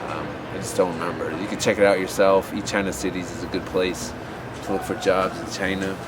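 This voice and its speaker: A man with a lowish voice gives unscripted advice while he rambles, fills gaps with "um" and "uh" and restarts his sentences. He is recorded outdoors in a city, and clear traffic and street noise run behind him. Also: flat delivery, brisk. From USA. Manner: rambling, many fillers and restarts. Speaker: English teaching advice vlogger. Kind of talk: unscripted advice talk to camera.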